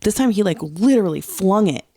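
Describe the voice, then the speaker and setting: Female co-host, casual fan chat. Lowish voice with noticeable fry, filler-heavy conversational delivery, close dry sound. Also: animated, fairly quick, mellow, no echo. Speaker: podcast co-host.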